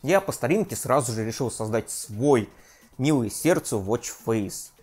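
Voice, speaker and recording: A man in his thirties hosting a scripted tech review vlog from a room at home, lively and self-mocking. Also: medium pitch, measured pace, husky, faint music behind. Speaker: tech video host. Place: home room with desk and gear.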